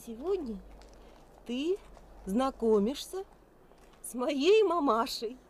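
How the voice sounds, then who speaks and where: An actress playing a lively, teasing young fiancee in a radio play, coaxing and insisting. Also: female voice, high voice, takes it slowly, exaggerated voice. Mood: teasing, insistent. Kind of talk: 1980s Soviet radio play acting. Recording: theatrical radio play with music behind.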